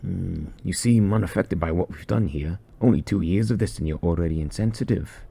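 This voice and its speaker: A man plays an old veteran soldier in a war story, with a very deep, gruff voice. He is weary and grim, speaking low with a husky rasp. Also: brisk, heavily acted. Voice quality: gruff, hushed.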